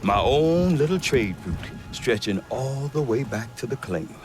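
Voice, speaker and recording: An actor playing a gruff middle-aged trader who talks with dry humor. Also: male voice, medium pitch, a little slow, natural voice. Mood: wry. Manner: wry, dry humor, lively delivery. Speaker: merchant trader. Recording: game cutscene with music behind.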